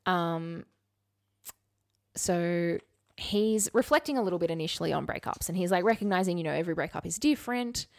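A woman's voice: on the low side, brisk, no echo, clean studio sound. A woman co-hosting a podcast in casual chat, reading bits aloud with wry, candid asides. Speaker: podcast co-host.

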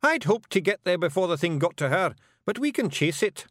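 A man's voice: high-pitched, very animated, fairly quick, a bit bright, no room sound, studio quality, voice pushed up. A man voicing a boisterous, cheerful little fairy clansman with a Scottish accent in a storybook reading. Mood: cheerful confidence. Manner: exclamatory, brash.

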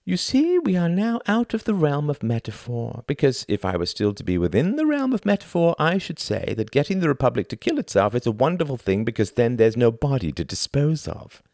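A man voicing a flamboyant, theatrical thin stage performer in a grandiose, excitable manner. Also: mid-range voice, a little fast, few pauses, no room sound, studio quality, voice pushed up. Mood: smug.

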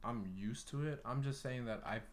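A man's voice: medium pitch, moderate pace. A man hosting a podcast in a casual, conversational way, rambling and correcting himself as he explains. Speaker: podcast host.

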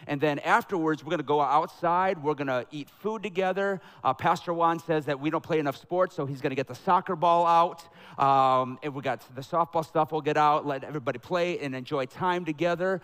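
Middle-aged male pastor, fairly high voice, chatty then rousing from the pulpit. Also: fairly quick, clear, resonant tone. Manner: energetic, conversational preaching that builds to loud emphatic peaks. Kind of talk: sermon with announcements and prayer. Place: church sanctuary. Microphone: headset mic.

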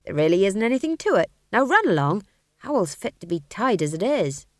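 A woman voicing a kindly, seasoned male actor who speaks reassuringly and can intone in a slow, hollow way. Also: mid-range voice, sing-song, dry recording, studio quality, lightly acted.

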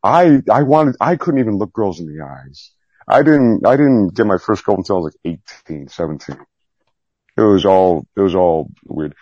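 Man, very low husky voice, rambling candid chat, self-deprecating, salty language, muffled roomy recording. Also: expressive, normal speed, darkish tone. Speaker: podcast guest, Navy veteran. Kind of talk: candid casual interview chat.

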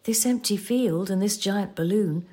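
A woman acting in an audio play as a devoted, patient partner, gently retelling a cherished love story at a measured, storytelling gait. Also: medium pitch, husky. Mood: tender, amused.

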